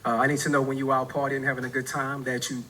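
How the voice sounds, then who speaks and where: Bright-voiced man with a New Orleans accent. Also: mid-range voice, level tone, fast talker, a little hiss or hum. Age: 40s. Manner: laid-back, keeps adding "right?". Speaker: dating show contestant. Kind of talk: dating show conversation.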